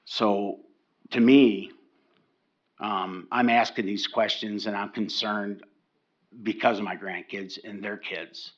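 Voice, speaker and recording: An older man giving a public comment at a county meeting, halting and often repeating words as he restarts his sentences. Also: lowish voice, steady tone, highs cut off. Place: county commission meeting room.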